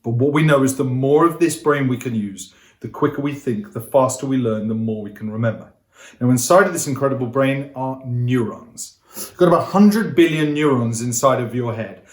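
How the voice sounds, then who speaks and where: An upbeat man teaching to camera, talking straight to his audience and coaxing them to take part. His voice sits at an everyday middle pitch. It carries a roomy echo. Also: moderate pace. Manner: upbeat, coaxing, addresses viewers directly. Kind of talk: energetic interactive lesson to camera.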